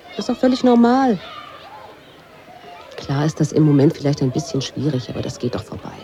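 Dismissive, self-assured teacher, brusque yet mellow, faint bustle behind, radio play. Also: female voice, low-pitched, a little hiss or hum. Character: dismissive, self-assured schoolteacher playing down bullying. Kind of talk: radio drama acting.

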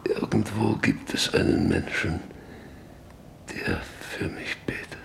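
An actor playing a broken, guilt-ridden rancher in a radio play, halting and weary, sinking into whispers. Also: male voice, sits low, fairly even intonation, gravelly, a little hiss or hum, heavily acted. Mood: desolate, pleading. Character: broken, guilt-ridden male rancher facing his end. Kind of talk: German radio play acting.

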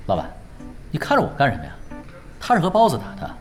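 A man's voice: fairly high pitch, wildly swooping pitch, fast talker. A man playing a laid-back young pro gamer in a radio drama, dry, teasing and nonchalant. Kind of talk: Chinese radio drama acting. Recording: music behind the voice.

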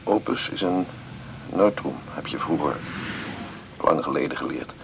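An actor playing a tender, melancholy man speaking a halting, reflective taped message in a radio drama. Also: a bass voice, gravelly, noisy background, heavily acted. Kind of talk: naturalistic 1980s radio drama monologue.

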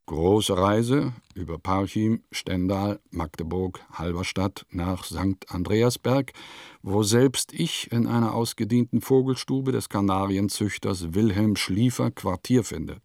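An actor playing a wry traveling letter-writer in a radio play, with a measured, deliberate delivery that savors every odd detail. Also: male voice, on the low side, darkish tone, no echo, clean studio sound. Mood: matter-of-fact. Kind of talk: literary radio play monologue, scripted narration.

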